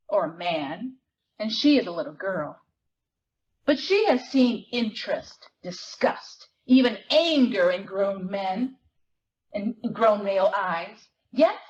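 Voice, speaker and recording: A woman reading literary fiction dramatically, in measured, deliberate phrases with weighted pauses. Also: medium pitch, dull audio. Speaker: dramatic reader at a library performance. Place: live reading at a library event.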